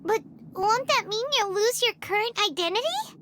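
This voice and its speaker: A tiny floating fairy girl with a very high, bright, squeaky voice, bouncing up and down as she chatters. Game cutscene with faint ambience behind her. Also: normal speed, slight background noise. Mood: worried. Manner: squeaky, animated. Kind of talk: video game cutscene dialogue.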